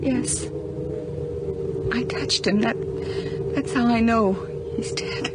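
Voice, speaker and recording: A woman's voice: a little high, slow, very noisy. An actress playing a frightened, freezing, angry woman in a radio horror drama, exclaiming and distraught. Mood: shaken. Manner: distraught, exclaiming, talking to herself. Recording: radio drama with loud music behind.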